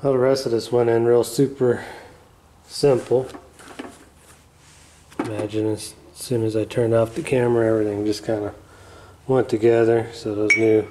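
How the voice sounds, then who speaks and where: A man's voice: medium pitch, moderate pace, long pauses, slight background noise. A man hosting a casual repair vlog, talking loosely with lots of fillers as he works on parts in a garage, filming on a phone. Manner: mumbly, relaxed, trailing off with fillers. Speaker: channel host. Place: garage, working on a floor bench.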